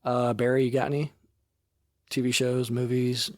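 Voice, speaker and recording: A man's voice: medium pitch, monotone, normal speed, no room sound. A man co-hosting a casual podcast, dryly asking short questions. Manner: dry, asks short questions. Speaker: podcast co-host. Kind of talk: casual chat.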